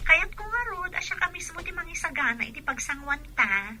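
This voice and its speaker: An actress playing a sweet, doting young fiancée in a Filipino radio drama. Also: female voice, extremely high pitch, big pitch swings, fairly quick, bright, forceful tone, heavily acted. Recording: radio drama with faint background noise.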